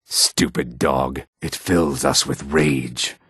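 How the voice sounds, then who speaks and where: A man voicing a gruff, combative male lizard-man townsman in a fantasy video game, with a raspy, throaty voice and a curt, theatrical delivery. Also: rumbling low, fairly even intonation, slow pace, fairly bright tone, no echo, clean studio sound, exaggerated voice. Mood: low, measured. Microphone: close studio microphone, dry.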